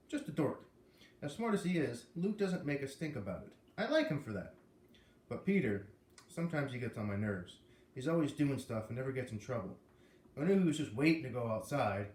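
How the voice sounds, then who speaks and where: A man's voice: mid-range voice, darkish tone, natural voice. Guy reading as a wry plainspoken kid, kinda grudging, storybook, echoey room. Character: grudging, candid schoolboy, wry and a little regretful.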